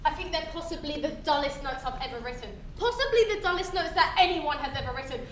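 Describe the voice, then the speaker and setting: A woman's voice: sits high, lively intonation, quick pace. A woman on stage playing a flippant, exasperated trainee official with an exaggerated, sarcastic delivery. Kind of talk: stage play acting. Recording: echoey stage recording, faint noise.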